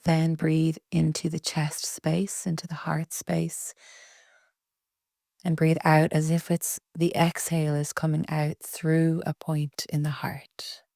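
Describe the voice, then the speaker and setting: A woman leading a guided relaxation meditation in a soft, breathy, soothing way with long pauses. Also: sits low, completely flat delivery, no echo, clean studio sound. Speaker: yoga nidra meditation guide.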